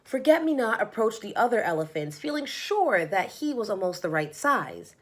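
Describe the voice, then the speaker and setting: A woman reading a children's storybook aloud as narrator, in an expressive storytelling manner. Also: medium pitch, normal speed. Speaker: storybook reader.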